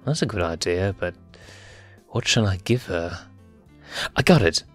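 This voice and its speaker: A man's voice: low voice, lively intonation, a little slow, soft background music, pitched below the performer's own voice. A man voicing a playful, hapless young male lion cub in an amateur comic dub, stammering and hesitant. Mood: sheepish, dejected. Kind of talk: amateur comic fandub acting.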